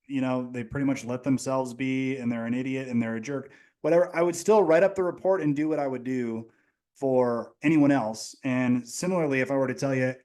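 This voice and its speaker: A man hosting a podcast, making his case in a reasoned, measured way with casual swearing. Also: mid-range voice, a little mellow. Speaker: podcast host. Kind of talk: conversational interview.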